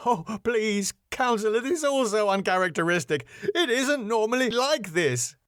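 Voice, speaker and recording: A man's voice: high voice, steady tone, takes it slowly, a bit bright, clean tone, no echo, studio quality, voice pushed up. A man voicing a meek, flustered male venue manager with a hesitant, pleading manner in a drama reading.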